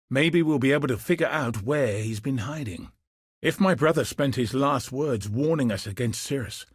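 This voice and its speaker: A grim, battle-seasoned military commander from a video game. His voice is husky and sits at an ordinary male pitch, recorded very dry. He speaks with solemn, earnest resolve, like someone bound by duty. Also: no echo. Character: grim, dutiful male soldier commander hunting cosmic threats. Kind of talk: scripted video game character dialogue.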